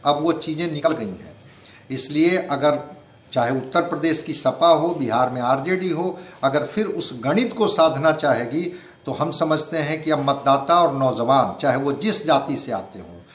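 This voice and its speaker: A man's voice: fairly high pitch, expressive, normal speed, fairly bright tone. A man giving political commentary like a spokesman, earnest and oratorical in his phrasing. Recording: roomy, echoing interview sound.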